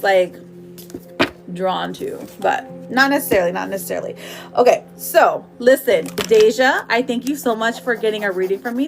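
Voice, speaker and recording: A woman hosting a live tarot reading, chatty and informal, talking affectionately to her viewers. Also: medium pitch. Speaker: tarot reader hosting a livestream. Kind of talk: casual live chat while reading cards.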